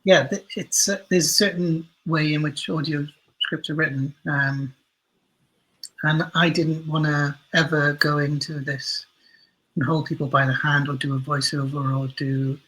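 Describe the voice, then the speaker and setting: A man's voice: fairly high pitch, little pitch movement, a little slow, sharp tone, rough recording. A man speaking as an interview guest, a writer and creator talking reflectively and often rephrasing himself as he goes.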